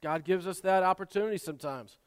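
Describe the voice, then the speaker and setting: A man preaching a sermon, swinging between quiet asides and loud, impassioned exhortation, often repeating and restarting words. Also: sits high, quick pace, clean tone. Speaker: pastor. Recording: long hall reverb.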